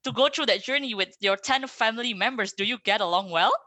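A woman from Indonesia interviews a guest over a video call, her voice bright and forward with a close, dry sound. She speaks in a friendly, encouraging way and keeps her questions conversational. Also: fairly high pitch, no room sound. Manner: friendly, encouraging, quick laughs. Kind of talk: casual interview.

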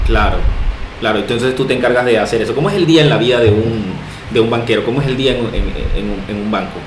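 Through room echo and clear background noise comes a man with a mid-pitched voice. He is a casual interviewer who builds his questions haltingly and often affirms what he hears. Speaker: interviewer, host.

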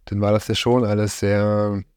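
A middle-aged man from Germany, interviewed about his life, speaks in a relaxed, conversational way, often hesitating and searching for his words. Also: lowish voice, normal speed, smooth, no echo. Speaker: interviewee, farm host.

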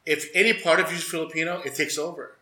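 A man's voice: fairly high pitch, flat delivery, fast talker, echoey room, a loud crowd. A man who is a chef, speaking in an earnest, reflective way that halts now and then. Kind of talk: casual conversation.

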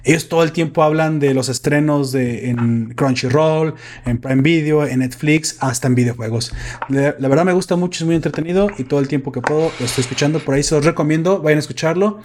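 A man's voice: on the high side, a little fast, a little mellow, a little hiss or hum. A man hosting a casual podcast chat. He jokes, laughs often and stumbles when reading aloud. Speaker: podcast host.

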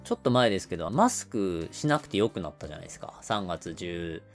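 Male co-host, lowish lively voice, casual example-driven explainer, music behind. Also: quick pace. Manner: explains by everyday examples, colloquial. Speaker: podcast co-host.

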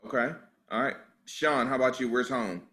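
A man's voice: medium pitch, normal speed, fairly bright tone. A man hosting a podcast panel, chatting casually, teasing and asking each guest questions. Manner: laughing, teasing, asks questions. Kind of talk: casual group chat.

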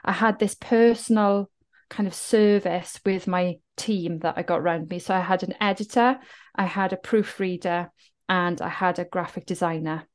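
A woman's voice: fairly low pitch, steady tone, normal speed. A woman from South Wales with a Welsh accent, a guest telling her story in an earnest, reflective way. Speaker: author and guest. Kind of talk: casual podcast interview.

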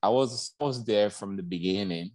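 A man's voice: lowish voice, moderate pace, a little mellow, no room sound. A man in his forties from Liberia, reflecting on his life as a guest in a casual interview, with lots of "like" and "you know".

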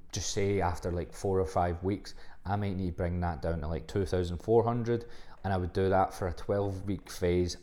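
A soft-spoken man with a low, deep voice talks through his podcast on his own. His tone is mellow and relaxed. He is blunt and rambling, full of fillers and casual swearing. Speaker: podcast host and fitness coach. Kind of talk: casual solo podcast monologue.

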